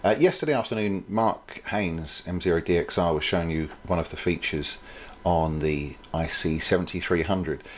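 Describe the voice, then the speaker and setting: A man's voice: deep voice, normal speed. A man presenting an informal product demonstration, explaining things in a hesitant, thinking-aloud way.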